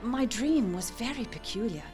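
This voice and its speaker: A young Victorian wife, played by an actress, narrates her diary in a high, wistful voice. She is anxious and melancholy, measured yet emotive. Faint music plays behind this audio drama monologue. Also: expressive, close to an everyday voice. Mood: dreamy, uneasy.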